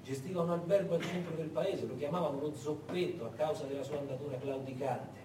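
A man performing a dramatic theatrical monologue, emphatic and deliberate, repeating words and leaving heavy pauses. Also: on the high side, fairly even intonation. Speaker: solo stage storyteller. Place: echoey performance space, far from the mic. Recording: echoey, noisy, distant stage recording.

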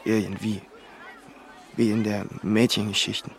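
An actor playing a reluctant, vague, hesitant witness in a German radio crime drama. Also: male voice, lowish voice, flat delivery, a little slow, some chatter behind, slight background noise. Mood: evasive. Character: evasive, reluctant male witness gossiping to police.

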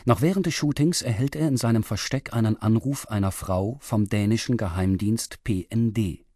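A man reading a scripted summary as announcer, measured and matter-of-fact. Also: lowish voice, mellow, no echo, studio quality. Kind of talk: scripted narration.

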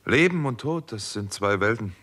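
An actor playing a hard-boiled, sardonic doctor turned investigator in a 1960s German radio crime drama, with dry, terse delivery. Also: male voice, lowish voice, lively intonation, normal speed, sharp tone. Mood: sceptical. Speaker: first-person narrator and protagonist. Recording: noisy old radio recording with clicks.

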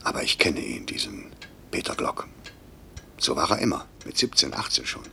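An actor playing a stern, anguished middle-aged airline director in a 1950s German radio drama, curt and brooding. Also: male voice, big pitch swings, moderate pace, bright, forceful tone, heavily acted. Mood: anguished, tender. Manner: curt, commanding, brooding, sinking into breathy murmurs. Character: stern, anguished male airline director, guilt-ridden boss. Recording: hissy, rumbling 1950s radio recording.

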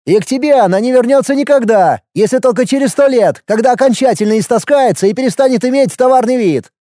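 A man voicing an anxious, exasperated younger brother, a sharp-tongued medical student who scolds in an audiobook story. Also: high voice, expressive, normal speed, no room sound, studio quality, pitched above the performer's own voice. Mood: scornful, heated. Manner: agitated, scolding.

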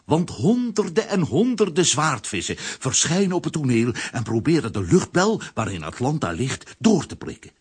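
A man narrating a children's adventure audio drama in a theatrical storytelling manner, with a husky, rough voice. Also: fairly high pitch, sing-song, normal speed, hardly any pauses, heavily acted.

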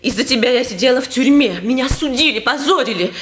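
An actress playing a proud, haunted young wife in a Russian stage drama, intense and pleading. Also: female voice, sits high, fast, a bit bright. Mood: anguished, defiant.

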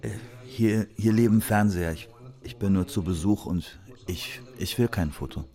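An actor playing a confused, reclusive former rock musician with a halting, stammering, evasive delivery in a radio play. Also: male voice, sits low, mellow. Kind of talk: German radio play acting. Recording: music behind the voice.

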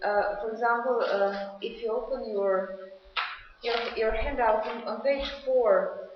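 A woman's voice: a little high, a little slow, noisy background. Woman moderating in an echoey hall. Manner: hesitant, many fillers, reads poetry aloud. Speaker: panel moderator. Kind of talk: literary discussion moderation. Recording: echoey hall, dull lo-fi sound.

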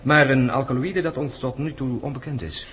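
A man's voice: medium pitch, steady tone, moderate pace, fairly bright tone, a little hiss or hum, close to an everyday voice. A man acting in a radio drama as an affable hospital doctor, speaking in a matter-of-fact, clinical way. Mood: affable, informative. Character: Dr Sparks, brisk, affable male hospital doctor.

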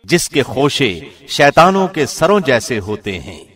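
A man reading a scripture translation as scripted narration, in a measured, formal delivery. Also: mid-range voice, big pitch swings, a bit bright, husky. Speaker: translation reader, radio host. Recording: studio voice with long reverb.

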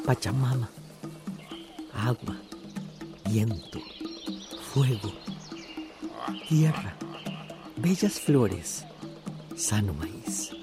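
An actress playing a reverent, solemn nature devotee in prayer, in a radio drama. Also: female voice, a bass voice, heavily acted. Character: reverent, solemn devotee praying to Mother Earth. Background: music and outdoor nature sounds.

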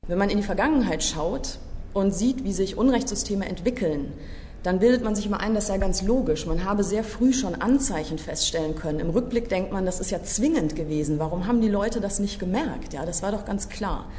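A woman's voice: expressive, fairly quick, mellow. A woman speaking as a playwright, explaining her work in an articulate, analytical way, in a reverberant room. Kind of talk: reflective explanation of own work. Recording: reverberant room, faint noise and rumble.

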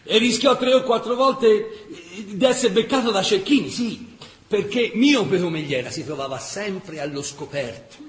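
An actor playing a heartfelt Tuscan storyteller recounting war memories in colloquial, emphatic radio theatre style. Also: male voice, high-pitched, expressive, unhurried. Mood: animated, colloquial. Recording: roomy stage-like echo.

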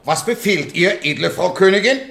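An actor playing a dutiful royal huntsman and jailer in a children's radio play, loudly proclaiming and officious. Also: high-pitched, expressive, normal speed, vocal fry, exaggerated voice. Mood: officious, urgent. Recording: echoing, distant, noisy crowd scene.

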